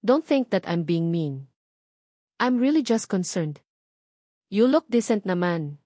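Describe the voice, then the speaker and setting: A woman's voice: on the low side, sing-song, slow pace, dark tone, dry recording, exaggerated voice. Young Filipina love rival, read by a woman, audiobook romance, condescending under polite concern, switches into English, dry sound. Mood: falsely concerned. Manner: code-switches between Tagalog and English.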